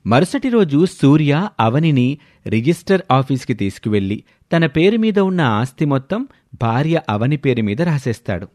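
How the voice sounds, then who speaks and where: A man's voice: mid-range voice, big pitch swings, normal speed, a little mellow, no room sound, clean studio sound. A man narrating a scripted family story as a storyteller.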